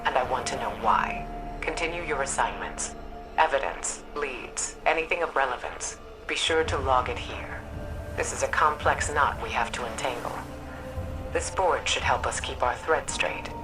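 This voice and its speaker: A commanding woman leader gives orders in a measured, authoritative tone. Her voice sits extremely low and a little distant. Game music plays behind her. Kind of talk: video game dialogue.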